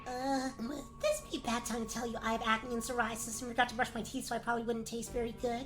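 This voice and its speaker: A woman playing a wisecracking, unfazed child in a comedic fairy-tale radio play, with a deadpan, chatty, sarcastic delivery. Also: fairly high pitch, quick pace, over music, close to an everyday voice. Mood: pleading. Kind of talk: comedic gag-dub radio play acting.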